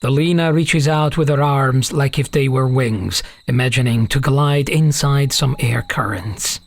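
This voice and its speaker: A man's voice: medium pitch, unhurried. A man giving scripted narration for a fantasy audiobook, as the storyteller between characters' lines.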